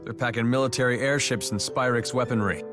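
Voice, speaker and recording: An actor playing a wry, worldly fantasy party member in a video game, with a casual, dry manner. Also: male voice, mid-range voice, fairly even intonation, fairly quick, close to an everyday voice. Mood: matter-of-fact. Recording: loud music behind the voice. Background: loud background music.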